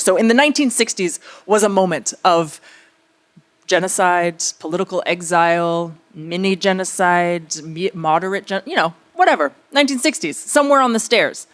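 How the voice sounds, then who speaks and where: A woman speaking conversationally as an artist at a public talk, telling stories in a reflective, searching way, pausing and circling back. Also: mid-range voice. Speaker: theater artist speaking on a panel.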